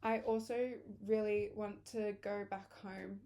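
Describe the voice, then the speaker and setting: Hoarse young woman chatting. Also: mid-range voice, level tone, a little slow, dark tone. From England. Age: early 20s. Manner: chatty, rambling, corrects herself. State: hoarse, losing her voice. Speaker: vlogger. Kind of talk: casual chat to camera.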